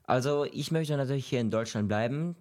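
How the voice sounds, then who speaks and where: A young man from Syria whose first language is Kurdish, answering interview questions in a halting way, searching for words. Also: mid-range voice, darkish tone. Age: young adult. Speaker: podcast guest.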